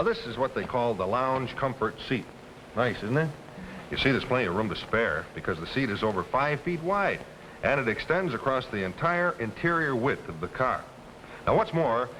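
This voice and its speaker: Male commercial announcer, 1950s TV: folksy pitch, mid voice, lively swings, slight noise. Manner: folksy, chatty salesmanship. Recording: noisy old 1950s television soundtrack.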